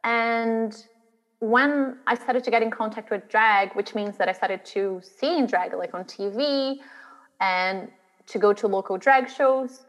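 A woman's voice: on the high side, slow pace, big-room sound. A woman from Brazil, a drag artist and guest, telling her story in a chatty interview, laughing now and then. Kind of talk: interview chat.

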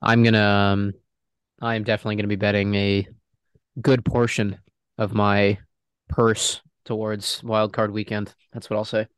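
A young man co-hosting a casual sports podcast while he is ill. His voice is smooth and clear, sitting a little low. He talks in an easygoing, confident way, and the recording is dry with no room echo. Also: level tone, moderate pace. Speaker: podcast co-host.

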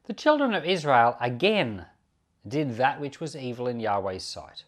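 A man's voice: medium pitch, sing-song, moderate pace. A man with a mid-range voice speaks as a pastor presenting a Bible podcast. His intonation moves widely and expressively as he explains and reads scripture. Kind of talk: Bible teaching and scripture reading.

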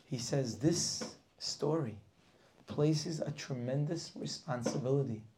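Gravelly, impassioned Torah class teacher man. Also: mid-range voice, takes it slowly, warm tone, a little hiss or hum. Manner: impassioned preaching with rhetorical questions, dropping to near whispers. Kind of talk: weekly Torah class lecture.

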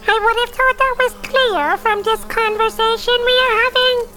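Know-it-all talking flower, tiny piping voice, breezy n sassy, fairy tale play. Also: female voice, very high voice, steady tone, moderate pace, heavy background noise. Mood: sassy, frank. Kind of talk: audio drama acting for children.

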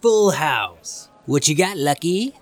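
An actor playing a big, menacing fisherman at an 1890s saloon card table, speaking with deliberate threat. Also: on the high side, lively intonation. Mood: taunting. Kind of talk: western screen drama acting.

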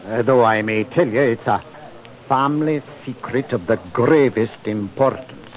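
A man plays an anxious, polite gentleman in an old radio mystery. His mid-pitched voice is hesitant and stammering, guarded in what it says. The recording is a dull vintage broadcast. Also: measured pace, natural voice. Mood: anxious, cautioning. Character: nervous, evasive, polite gentleman, a fearful cousin. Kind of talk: 1940s radio drama acting.

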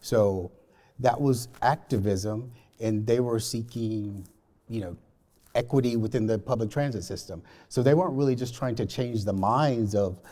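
A man from Alabama speaking as a discussion guest, explaining his views conversationally and in a measured way. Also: medium pitch, warm tone. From Alabama, United States. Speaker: podcast guest.

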